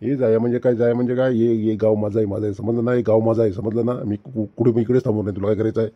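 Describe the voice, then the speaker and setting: Guy voicing a drunk village tough guy, lowish husky voice, slurry menacing brag. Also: steady tone, racing, no echo, lo-fi sound, lightly acted. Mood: swaggering threat. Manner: swaggering, menacing, slurred repetition. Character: drunken bullying male village strongman.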